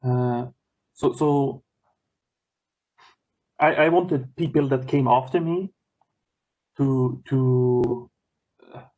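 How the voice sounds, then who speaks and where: A man's voice: medium pitch, warm tone, highs cut off. A man from Sweden with a Swedish accent, speaking English as his second language, talking as a guest on a video call and often restarting his words. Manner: halting, many restarts and repeated words. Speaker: guest lecturer.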